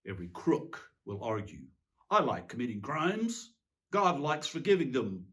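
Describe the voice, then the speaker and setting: A man's voice: medium pitch, big pitch swings, unhurried, a bit bright. A man playing a weary, self-pitying tyrant king in a theatrical monologue, fussy, pompous and plaintive. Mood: mocking. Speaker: reader giving a dramatic reading. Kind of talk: theatrical dramatic monologue reading.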